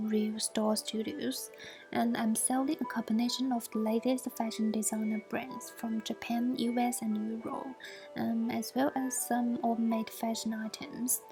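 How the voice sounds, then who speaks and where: A young woman in her twenties, a native Mandarin speaker with a Chinese accent, presents her work from home for an assessment. She speaks haltingly, with many fillers, and drops to a soft whisper at times. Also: a little high. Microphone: basic home equipment.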